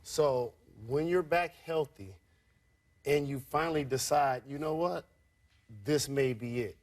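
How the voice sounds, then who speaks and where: A man co-hosting an interview, asking earnest, measured questions. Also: fairly high pitch. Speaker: interview co-host. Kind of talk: casual interview talk.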